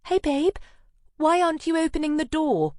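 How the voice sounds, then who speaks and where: A woman narrator puts on a shrill, excitable friend, her lines pitched well up and pushed loud. She is brash and fussy, firing off exclamations. The audiobook recording is dry and close. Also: normal speed, no room sound. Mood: chirpy, alarmed. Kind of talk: audiobook character voice.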